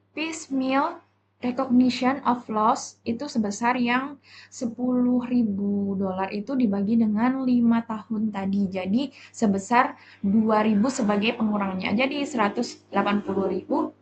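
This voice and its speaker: A young woman with an Indonesian accent gives a halting, step-by-step explanatory class presentation as a student. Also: fairly high pitch, a little mellow. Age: young adult. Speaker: university accounting student presenter. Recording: dull lo-fi audio, faint background noise.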